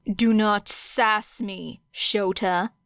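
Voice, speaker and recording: A commanding heroine with cold swagger, voiced by a woman narrator. She speaks slowly and firmly at a medium pitch, harsh and authoritative, in a dry audiobook recording. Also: steady tone, a bit bright, dry recording. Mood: dangerous, demanding. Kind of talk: audiobook character acting.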